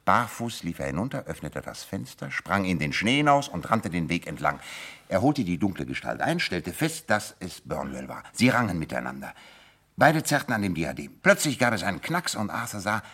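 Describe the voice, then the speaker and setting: Commanding detective for radio drama: low, richly inflected voice, assured and emphatic. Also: male voice, sing-song, brisk, a bit bright, slightly rough, heavily acted. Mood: vivid recounting. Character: self-assured male detective, shrewd, commanding. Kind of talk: German radio drama acting.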